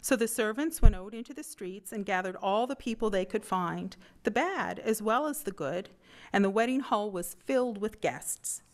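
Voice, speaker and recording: A woman reading a scripture lesson aloud in a measured way during a church service. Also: mid-range voice, lively intonation. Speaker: scripture reader.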